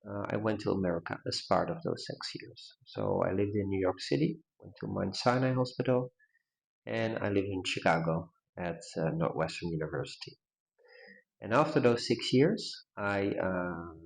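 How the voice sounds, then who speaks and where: A Dutch-accented man in his forties, a neurologist answering interview questions in a measured way with frequent pauses and restarts. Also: on the low side, gravelly, a little mellow, low-quality recording. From Netherlands. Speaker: neurologist guest.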